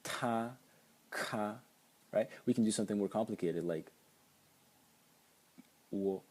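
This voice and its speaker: A man's voice: lowish voice, slow pace, a little mellow. A young man with a Slovak accent, a native Slovak speaker, explaining sounds in a casual lesson with frequent hesitations. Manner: hesitant, with many ums and restarts. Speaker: language teacher.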